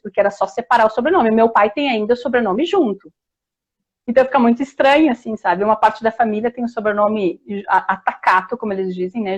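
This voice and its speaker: Woman, middle-aged, southern Brazilian accent, slightly high voice, chatty, many restarts, video call sound. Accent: southern Brazilian Portuguese, Rio Grande do Sul. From Rio Grande do Sul, Brazil. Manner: warm, chatty, with many restarts and tag questions. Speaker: historian and author guest. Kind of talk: casual interview storytelling.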